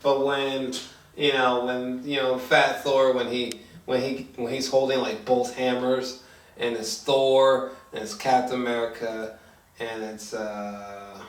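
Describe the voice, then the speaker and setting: A man chatting casually about a movie in an excitable, halting, profanity-laced way, repeating himself as he goes. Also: medium pitch. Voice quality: slangy, profane speech. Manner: excitable, halting, repetitive storytelling. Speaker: movie reviewer. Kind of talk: casual unscripted movie chat. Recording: roomy echo, distant mic.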